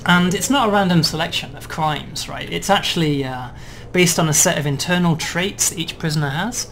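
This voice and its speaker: A man demonstrating and explaining a game build in a relaxed way, checking in with "right?" as he goes. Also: on the high side, normal speed, vocal fry, a little hiss or hum. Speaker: game developer demoing.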